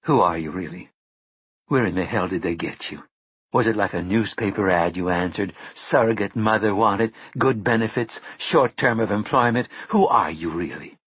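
A man's voice: on the low side, normal speed, a bit bright, slightly rough, dry recording. A man voicing a tough, determined woman who questions bluntly and presses hard. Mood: pressing.

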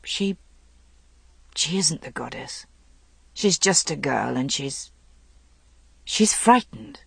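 Woman doing a weary young king in a fantasy story, tender n halting, low slow voice. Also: expressive, husky, no echo, exaggerated voice. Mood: anguished, hesitant. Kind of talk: dramatic audiobook storytelling.

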